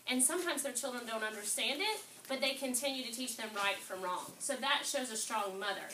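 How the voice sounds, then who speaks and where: A woman teacher reading aloud and instructing a fifth-grade class, pausing to pose questions to her students. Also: on the high side, sharp tone. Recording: roomy, distant, noisy classroom recording.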